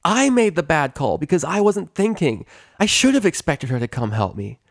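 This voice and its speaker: A man's voice: on the high side, sing-song, normal speed, dry recording, studio quality, voice pushed up. A man voicing a brash, loyal young female pegasus pony in a story reading. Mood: defiant.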